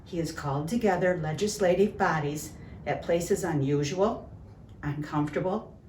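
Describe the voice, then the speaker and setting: A woman's voice: deep voice, a little mellow. A woman giving a formal public reading of a historical declaration. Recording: echoey, distant mic, faint ambient noise.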